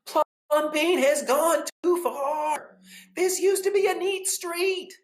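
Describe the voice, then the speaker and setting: Indignant storybook neighbour: very high, strained, drawn-out scolding. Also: male voice, fairly even intonation, reverberant. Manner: drawn-out, exaggerated complaining. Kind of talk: children's storybook character voice.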